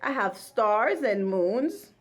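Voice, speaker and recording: Woman, mother at story time, very animated pitch, slow pace, casual read-along, sing-song delivery. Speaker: mother reading a picture book with a child.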